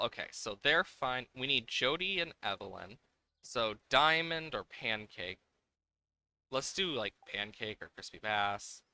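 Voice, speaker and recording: A man's voice: medium pitch, sharp tone, no echo. A man giving casual, playful live commentary on a game, thinking aloud as he plays, with game music behind him. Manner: playful, hums and makes sound effects while talking.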